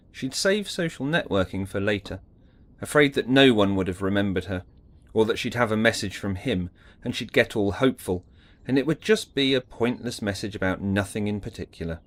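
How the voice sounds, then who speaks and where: A man's voice: lowish voice, moderate pace. A man giving a scripted, dramatic narration of an audiobook story.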